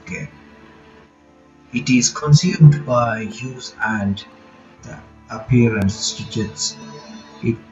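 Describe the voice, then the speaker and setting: A man reads a visual novel's text aloud at a middle pitch, stumbling over lines and breaking off for relaxed comments of his own. The recording is dull and lo-fi, with clear music running behind him. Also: takes it slowly, long pauses. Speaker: reaction channel host.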